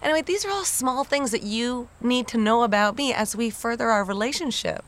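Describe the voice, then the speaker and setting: A woman co-hosting a comedic podcast, playing absurd bits with a deadpan, straight-faced delivery. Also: fairly high pitch, fairly quick. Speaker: podcast co-host.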